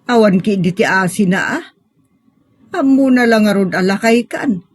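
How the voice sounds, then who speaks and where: A woman playing a sympathetic, indignant neighbour in an Ilocano drama, with concern in her husky voice. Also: mid-range voice, normal speed, dry recording, natural voice. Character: sympathetic female neighbour friend bearing indignant gossip. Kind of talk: Ilocano audio drama acting.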